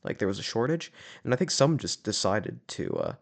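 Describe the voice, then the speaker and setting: A man's voice: fairly low pitch, wildly swooping pitch, brisk, gravelly. Young guy w a sore throat rambling thru a tier list, voice bounces up n down a lot, lots of uhs. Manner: rambling, with many "uh"s and "you know"s. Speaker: YouTube host ranking animatronic stages. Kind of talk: casual commentary over a tier list.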